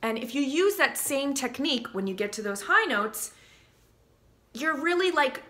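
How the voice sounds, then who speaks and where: A woman's voice: high voice, moderate pace. A woman in her thirties, a vocal coach, talking casually to the camera from a small home studio and now and then singing examples. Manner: chatty and animated, breaks into sung examples.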